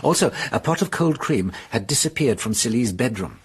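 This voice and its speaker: A husky detective. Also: male voice, mid-range voice, lively intonation, normal speed. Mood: explaining. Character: shrewd middle-aged male French police detective. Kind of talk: radio drama acting.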